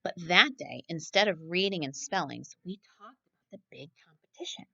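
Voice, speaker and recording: A woman's voice: on the low side, expressive, moderate pace, vocal fry, sharp tone, no room sound, muffled recording. A woman reading a children's picture book aloud like a teacher, expressively, often turning to ask her young listeners questions. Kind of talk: children's story read-aloud with asides to listeners.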